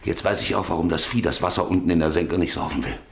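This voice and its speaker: An actor in a German radio drama playing a younger rancher, urgent, commanding and greedy, exclaiming in short bursts. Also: male voice, low-pitched, fairly even intonation, fast, vocal fry, reverberant. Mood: excited, greedy. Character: younger, excitable, greedy rancher who struck oil.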